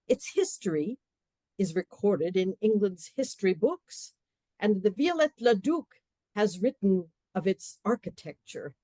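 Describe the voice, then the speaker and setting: A woman narrating a comic period radio play with measured, wry storytelling. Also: mid-range voice, mellow, dry recording.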